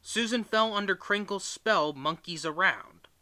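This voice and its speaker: A man reading a book aloud as a narrator, with emphatic, expressive delivery. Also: high voice, unhurried. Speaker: reader, narrator.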